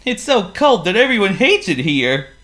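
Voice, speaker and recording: A man voicing a cheerful, chatty male penguin in a storybook reading, with a high sing-song delivery. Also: very animated, normal speed, pushed and bright, full character voice. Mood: gloomy.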